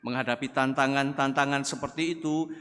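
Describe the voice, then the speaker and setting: An older man, a priest, giving a slow, conversational sermon at a pulpit microphone, with sudden emphatic rises. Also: medium pitch, fairly even intonation, fairly bright tone. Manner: measured, conversational preaching with rising emphatic bursts. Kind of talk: sermon at a thanksgiving Mass. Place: large church, at a pulpit. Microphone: gooseneck pulpit mic. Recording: long church reverb.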